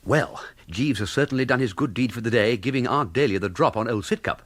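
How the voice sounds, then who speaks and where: An actor playing a breezy, idle young English gentleman in a radio comedy, with an upper-class accent and flippant, slangy speech. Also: mid-range voice, a little fast, close to an everyday voice. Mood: musing. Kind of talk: radio comedy drama acting.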